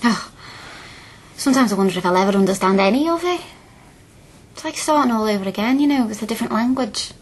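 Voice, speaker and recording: An actress playing an eager, cheeky young working-class Liverpool hairdresser and student in a radio drama, chatty and blunt. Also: female voice, on the high side, lively intonation, quick pace, pauses often, slightly rough. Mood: puzzled.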